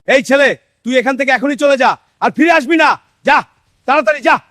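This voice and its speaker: A man voicing a harsh, greedy village shopkeeper with a sharp, commanding manner in a cartoon story. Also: extremely high pitch, normal speed, dry recording, clean studio sound, voice pushed up. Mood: angry, dismissive.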